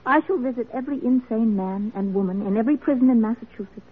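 A woman's voice: on the high side, fast talker, breathy edge. An actress playing an earnest, unyielding woman reformer in a classic radio drama, speaking formally and insistently. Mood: resolute. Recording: muffled old radio drama recording.

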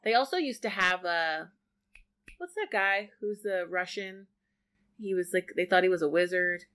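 A woman co-hosting a casual podcast, talking in a chatty, animated way and laughing easily. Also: fairly low pitch, moderate pace, clear, resonant tone. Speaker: podcast co-host.

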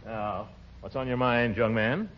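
An actor playing a pompous, easily flustered middle-aged uncle in a 1940s radio comedy, hemming theatrically. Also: male voice, medium pitch, measured pace, lightly acted. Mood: pompous, indulgent. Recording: dull, noisy old radio recording.

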